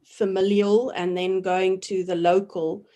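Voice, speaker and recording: A woman in her forties from South Africa, a professor giving measured, reflective answers in an interview, often pausing with ums and restarting her sentences. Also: medium pitch, little pitch movement, mellow. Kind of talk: thoughtful academic interview answers.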